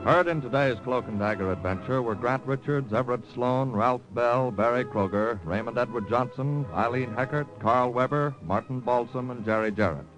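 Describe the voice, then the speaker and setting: American male announcer doing old radio show credits, medium pitch, light music behind. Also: normal speed, hardly any pauses, poor audio. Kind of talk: 1950s radio scripted announcing.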